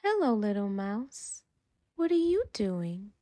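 A woman reading a children's picture book aloud expressively, dramatically stretching words and dropping into breathy whispers. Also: medium pitch, very animated, slow pace, dark tone, dry recording. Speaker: storytime reader and channel host.

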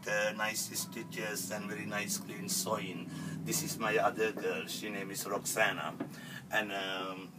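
A man in his forties from Sri Lanka with a Sri Lankan accent, a fashion designer introducing his sewing studio in halting English full of fillers. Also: low voice, distant mic, low-quality recording. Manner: halting non-native English with many fillers. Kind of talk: self-introduction video. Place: cluttered sewing studio, indoors.

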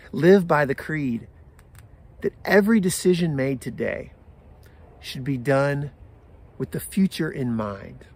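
A man in his forties with an American accent, a pastor giving a sermon in measured phrases with deliberate pauses. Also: mid-range voice, a little mellow. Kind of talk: sermon for an online service. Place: outdoors in a garden.

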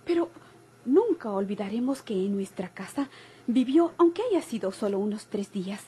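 Radio-play daughter, female actor: young, Mexican, inquisitive, animated, soft-toned and lightly high. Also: normal speed, slight background noise, natural voice. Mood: breathless, confiding. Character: excitable, nosy young daughter telling secrets. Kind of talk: golden-age Mexican radio drama acting.

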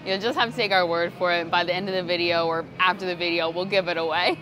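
A woman's voice: lowish voice, fairly even intonation, fast talker, over music. A woman co-hosting a theme park vlog from behind the camera, bubbly and enthusiastic. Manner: bubbly, enthusiastic, laughs often. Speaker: theme park vlogger, co-host. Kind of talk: casual vlog chat. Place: outdoor theme park game booth.

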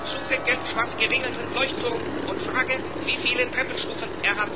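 An actor playing a small, literal-minded robot in a children's radio play, speaking in a matter-of-fact, deliberate way. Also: medium pitch, steady tone, loud music behind the voice, lightly acted. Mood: calm, dutiful.

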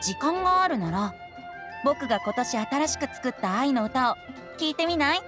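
A woman voicing a playful, gentle boyish bard in a Japanese anime game. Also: high voice, lively intonation, normal speed, over music. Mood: friendly, inviting.

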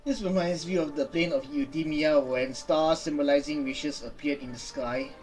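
A young man streaming a game, giving casual, low-key, halting reaction commentary and reading out dialogue choices. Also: steady tone, over music, rough recording. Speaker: gaming streamer. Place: indoor room, webcam view.

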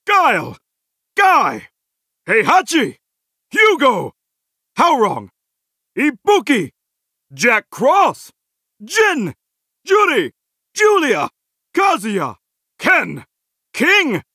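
A man's voice: extremely high pitch, gravelly, pushed and bright, no room sound, clean studio sound, full character voice. A male actor voicing a goofy, earnest middle-aged superhero in a fighting video game, with cheesy, exclamatory heroic delivery. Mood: calling out names. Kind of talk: video game battle barks.

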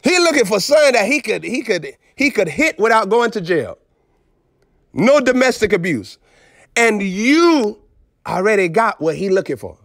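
A man's voice: high-pitched, sing-song, moderate pace, a bit bright, no echo, studio quality. A male preacher giving a streamed sermon in an emphatic, repetitive, scolding manner. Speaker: prophet preacher.

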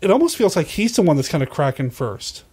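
A man's voice: on the high side, big pitch swings, fairly quick, a little mellow, husky. A man hosting a podcast, discussing film in a casual, conversational way with joking asides. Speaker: podcast host.

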